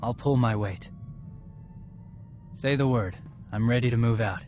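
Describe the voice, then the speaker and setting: A man's voice: medium pitch, unhurried, a little mellow, natural voice. A man playing an earnest, loyal young warrior in a video game, speaking in a terse, respectful way. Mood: resolute. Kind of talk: video game cutscene acting. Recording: music behind the voice.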